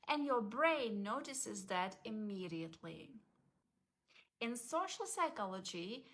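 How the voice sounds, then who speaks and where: A woman with a voice a touch higher than most talks in a calm, measured way. She walks through each point like a coach delivering a scripted explainer. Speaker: language confidence coach.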